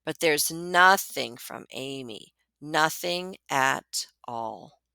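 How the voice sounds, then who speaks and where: A woman reading a children's picture book aloud as a librarian would for students, with deliberate, dramatic emphasis on words. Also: low voice, expressive, vocal fry, bright, forceful tone, smooth, no echo.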